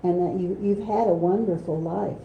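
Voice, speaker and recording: A woman's voice: lowish voice, steady tone, moderate pace, creaky, roomy sound, narrow, tinny audio, slight background noise. A woman moderating a conversation with an author at a bookstore, affirming and guiding questions. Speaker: event interviewer. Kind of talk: moderating a book talk. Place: bookstore event space.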